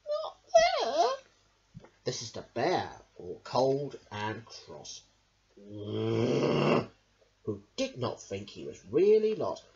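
A young adult reading children's picture books aloud in an emphatic, deliberate way. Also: mid-range voice, very animated, vocal fry, darkish tone. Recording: dull lo-fi camera sound.

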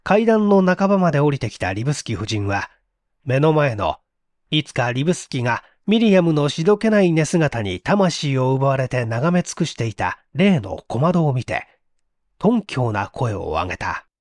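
A man's voice: medium pitch, sing-song, moderate pace, a little mellow, no room sound. Male audiobook narrator, dramatic and suspenseful, very varied intonation, dry studio-like sound. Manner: theatrical, suspenseful. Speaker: audiobook narrator, stage actor. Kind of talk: dramatic scripted mystery narration.

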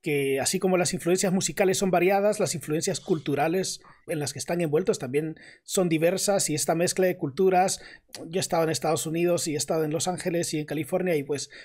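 A man's voice: on the high side, steady tone, fast talker, darkish tone. A man co-hosting an interview on a video call, asking thoughtful, formally phrased questions. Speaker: podcast co-host, interviewer.

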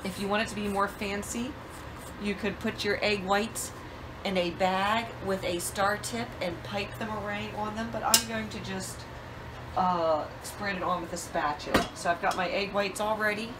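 A woman's voice: medium pitch, steady tone, moderate pace, very noisy. Woman explaining a recipe in her kitchen. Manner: plain step-by-step instructions with fillers. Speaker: cooking channel host. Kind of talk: home cooking demonstration. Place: home kitchen, indoors.

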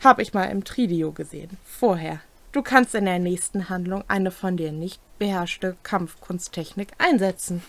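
A woman's voice: lowish voice, moderate pace, creaky, dry recording. A young adult woman co-hosting a tabletop game explainer, reading scripted rules aloud in a room at home. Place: small home room, indoors.

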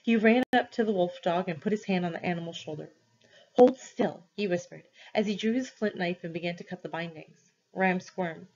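A woman's voice: lowish voice, fairly quick, few pauses, lo-fi sound. A woman reading a story aloud expressively, as a teacher does for students.

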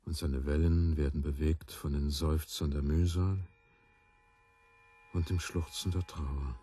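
A man's voice: very deep voice, steady tone, dark tone, breathy edge, full character voice. A man playing a grave, solemn narrator of myths in a radio play. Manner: solemn, measured.

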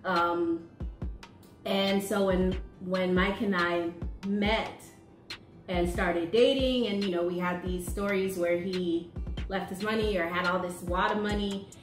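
A woman's voice: lowish voice, slow pace, soft background music. A woman in her thirties who was raised in the United States, co-hosting a couple's vlog and telling personal stories with frequent laughter, recorded at a desk microphone in a small room at home. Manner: laughs often, lively storyteller. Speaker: co-host of a married couple's vlog. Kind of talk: casual chat and personal storytelling. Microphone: desk condenser mic, wearing headphones. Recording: echoey room.

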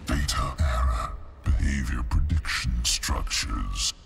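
An actor playing a menacing, broken artificial intelligence in a video game, with a gravelly machine-processed voice and halting, cold, clipped delivery. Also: male voice, a bit bright, faint music behind, full character voice. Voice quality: gravelly, synthetic. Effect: deep machine voice processing. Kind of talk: video game dramatic acting.

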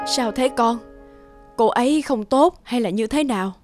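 A woman's voice: fairly high pitch, a little slow, a little mellow, soft background music, lightly acted. A woman voicing a loving, apologetic mother in a cartoon. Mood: tender, apologetic. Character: loving, apologetic mother who lives abroad. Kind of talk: cartoon voice acting.

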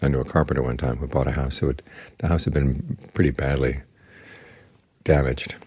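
Very deep, calm older monk. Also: male voice, breathy edge. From United States. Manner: slow, calm and plainspoken. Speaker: Buddhist monk teacher. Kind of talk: guided meditation talk.

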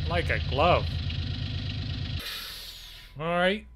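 A man giving casual role-play gameplay commentary in a halting way, musing aloud to himself. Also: a little high, lively intonation. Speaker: gaming channel host. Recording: clear engine and vehicle noise behind. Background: game vehicle engine sounds.

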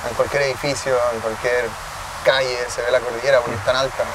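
A man's voice: mid-range voice, flat delivery, fast. A man in his thirties with a Chilean accent, giving reflective interview answers in a city park and often restarting his phrases. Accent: Chilean Spanish. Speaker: guest, tech cofounder. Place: outdoor park under a tree, city. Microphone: distant camera mic. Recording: distant mic, city traffic noise.

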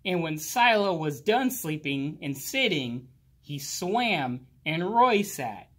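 A man reading a children's picture book aloud expressively, drawing out words and pausing. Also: a little high, slightly rough. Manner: slow, animated, with drawn-out words and pauses. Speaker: children's storybook reader. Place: indoors, library with bookshelves.

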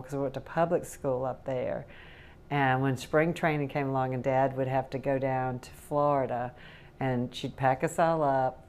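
A woman's voice: extremely low pitch, a little mellow. A woman in her sixties with a faint Southern accent, recalling childhood memories in a rambling, chatty way at her kitchen table. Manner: rambling, chatty, laughs often. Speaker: interviewee. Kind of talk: casual recollection. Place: home kitchen, indoors.

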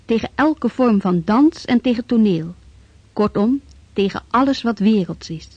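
An actress playing a sober historical chronicler, narrating from a script in a radio drama. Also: female voice, a little high, animated, unhurried, darkish tone, no room sound. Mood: sober, factual. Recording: dull, dry old radio recording.

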